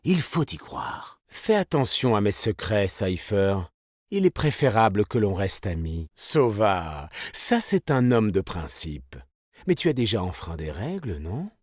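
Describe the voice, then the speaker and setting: Suave, vain game agent, very animated. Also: male voice, on the low side, unhurried, dry recording, studio quality. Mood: suave, composed. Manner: polished, courteous, smug. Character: suave, vain male agent, polite and self-assured. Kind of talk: video game voice lines, tactical callouts and taunts.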